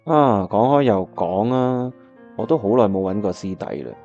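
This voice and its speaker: A man's voice: on the low side, expressive, normal speed, warm tone, muffled recording. A man with a Hong Kong Cantonese accent acting a disheartened, wistful time traveller who narrates his own radio drama. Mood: musing. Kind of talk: first-person radio drama narration and dialogue. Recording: music playing behind the voice.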